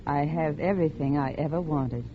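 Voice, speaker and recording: A woman's voice: sits low, moderate pace, lightly acted. An actress playing a poised, guarded society woman with genteel manners in a 1940s radio drama. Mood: guarded, wistful. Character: poised society woman reuniting with an old flame. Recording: noisy muffled old radio recording.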